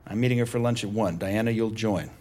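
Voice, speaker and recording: Actor playing a low-voiced, condescending finance boss. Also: male voice, quick pace, warm tone, close to an everyday voice. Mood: commanding. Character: smooth, pressuring male senior finance boss. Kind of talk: naturalistic screen drama acting.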